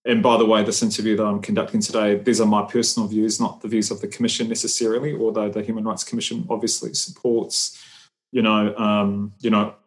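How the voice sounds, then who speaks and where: Man from New Zealand, lowish voice, measured and hesitant, many ums; interview guest on a call. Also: level tone. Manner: thoughtful, hesitant with many fillers. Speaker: guest, human rights advocate. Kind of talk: conversational interview.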